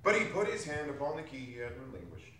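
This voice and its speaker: A man narrating a classic story in an expressive, theatrical dramatic reading. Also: on the high side, quick pace. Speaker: stage narrator. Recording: very echoey hall, distant mic, faint noise.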